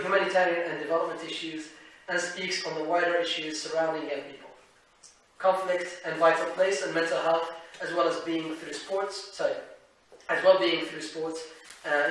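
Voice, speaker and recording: A man's voice: a little high, bright, forceful tone. Man speaking in an echoey hall. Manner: conversational, many fillers and restarts. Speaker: event host introducing a speaker. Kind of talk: public talk. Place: lecture hall. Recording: echoey hall, mic from far off.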